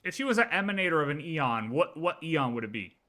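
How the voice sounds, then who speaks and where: A man's voice: fairly high pitch, sing-song, fast talker, pushed and bright. A man chatting casually as a game livestreamer, emphatic and slangy, often repeating his phrases.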